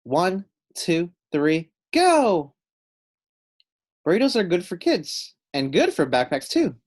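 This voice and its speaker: A man's voice: sits high, huge pitch swings, slow, pitched above the performer's own voice, heavily acted. A man voicing a proud, supportive young girl in a children's storybook read-aloud. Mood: playful.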